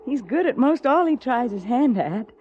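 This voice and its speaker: An actress playing a worried, loyal frontier wife with an American accent in an old western radio drama, folksy, earnest and plaintive. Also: female voice, on the high side, very animated, normal speed, muffled recording. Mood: weary, confiding. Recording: noisy old radio broadcast recording.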